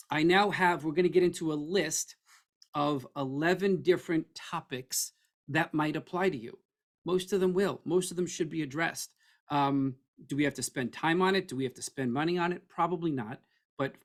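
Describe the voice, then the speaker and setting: A middle-aged man with a hoarse, froggy voice, presenting an estate planning webinar in a conversational way that keeps checking in with "okay?". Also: on the high side. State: hoarse, losing his voice.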